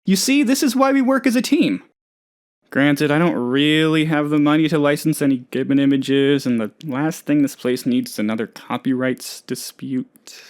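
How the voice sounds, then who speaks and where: A man's voice: fairly high pitch, normal speed, close to an everyday voice. A man playing an eccentric, eager, scatterbrained office worker in a comedic video game, rambling earnestly about absurd things. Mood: cheerful, eager. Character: eccentric, eager, scatterbrained male office colleague, cheerfully odd.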